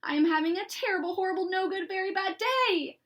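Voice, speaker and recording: A woman's voice: very high voice, fairly quick, a bit bright, voice pushed up. A woman voicing a grumpy, put-upon young boy who complains emphatically in a children's storybook read-aloud. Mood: exasperated.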